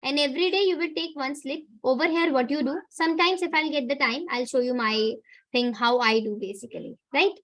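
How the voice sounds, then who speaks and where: A woman's voice: sits high, a little fast, fairly bright tone, low-quality recording. A young woman teacher with an Indian English accent, giving students a chatty pep talk and checking in with "right?". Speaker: online teacher. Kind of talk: motivational talk to students in a live class.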